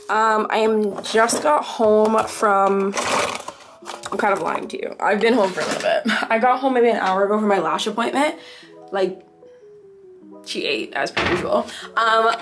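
A woman in her twenties chatting casually to camera as a vlogger, slangy and full of asides, recorded indoors at home. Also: fairly high pitch. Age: 20s. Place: indoors in a furnished home room.

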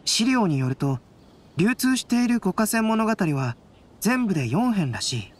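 Composed young man with expressive intonation. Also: mid-range voice, a little slow. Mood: pensive. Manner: composed, polite, analytical. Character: calm, thoughtful young male artist-scholar. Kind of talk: anime game dialogue acting.

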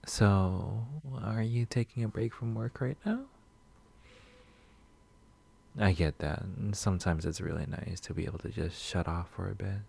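A man playing a shy, sweet, nervous coffee shop regular in a soft-spoken ASMR roleplay, gentle, hesitant and rambling. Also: low voice, fairly even intonation, normal speed, creaky, few pauses, breathy edge, heavily acted. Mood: friendly, tentative. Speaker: roleplay audio performer.

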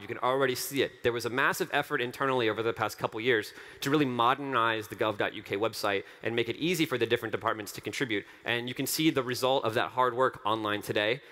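A man's voice: medium pitch, pushed and bright. A man in his thirties giving an earnest, enthusiastic conference keynote on a large stage, speaking into a headset mic and repeating phrases for emphasis. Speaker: company co-founder giving a keynote.

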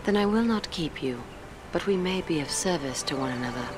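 An actress playing a serene, courteous young elf noblewoman in a fantasy game, speaking in formal, measured phrases. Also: low-pitched, expressive, background noise. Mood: earnest, courteous. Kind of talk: scripted fantasy game dialogue. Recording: loud music behind the voice.

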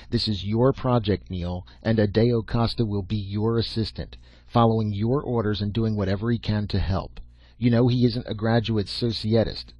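Guy reading as a blunt old professor, commanding and emphatic, husky, kinda dull lo-fi recording. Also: on the low side, a little mellow, no room sound. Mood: businesslike. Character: old, crusty, commanding male professor and mentor. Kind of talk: audiobook character acting. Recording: dull lo-fi audio.

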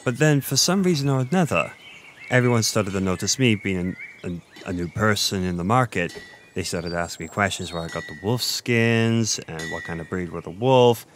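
Man playing a low-voiced, flirty Irish innkeeper. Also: slight background noise, lightly acted. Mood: amused storytelling. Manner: flirty, chatty, meandering storyteller with thoughtful pauses. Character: flirty, boastful Irish innkeeper, former traveling merchant. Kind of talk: intimate audio roleplay monologue to a listener.

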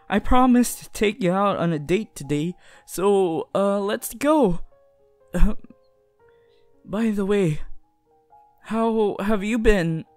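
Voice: mid-range voice, a little mellow, no room sound. A performer voicing a shy, earnest, lovestruck teenage boy hero in an anime roleplay, stammering tenderly. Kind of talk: anime fan audio roleplay addressed to the listener.

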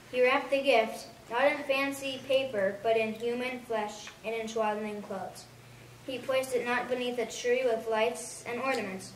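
A woman reads a devotional aloud at an earnest, even pace. Her voice sits a little high. It sounds distant and roomy, with a faint hiss in the background. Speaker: devotional reader.

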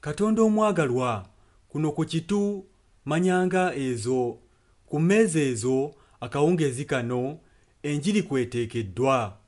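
A man's voice: fairly high pitch, lively intonation, moderate pace, a little mellow. A man interpreting a preacher's sermon and prayer phrase by phrase.

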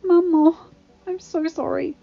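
Woman voicing an eager, high-pitched teenage girl. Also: lively intonation, moderate pace, darkish tone, dull audio, faint music behind. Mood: frightened, apologetic. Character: cheeky, eager fourteen-year-old French girl, anxious and loving.

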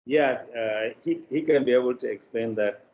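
A man's voice: medium pitch, moderate pace, darkish tone. An older man with a Nigerian accent giving interview answers on a video call from a room indoors. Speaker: interview guest, media producer. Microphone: video call, device mic. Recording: dull, noisy video call audio.

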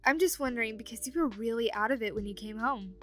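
Woman voicing a playful high-pitched ghost roommate. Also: fast talker, soft background music, lightly acted. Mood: teasing, friendly. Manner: teasing, chatty. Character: playful, teasing, meddling young female ghost roommate. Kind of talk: ASMR audio roleplay acting.

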